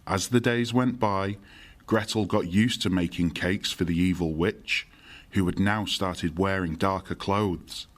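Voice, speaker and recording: A man's voice: low-pitched, fairly even intonation, unhurried. A man narrating a fairy tale as the storyteller in an audio drama. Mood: measured, storytelling.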